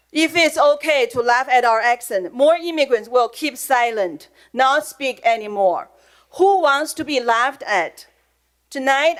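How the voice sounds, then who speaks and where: A middle-aged woman with a Chinese accent, giving an earnest, emotional prepared comment at a public school board meeting. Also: fairly high pitch.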